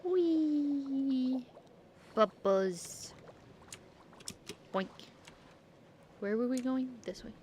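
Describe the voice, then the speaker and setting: Casual female game streamer, slow-paced and playful, sweary self-talk, with some clicky background noise. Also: high-pitched, lively intonation, a little mellow. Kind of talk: casual gameplay commentary.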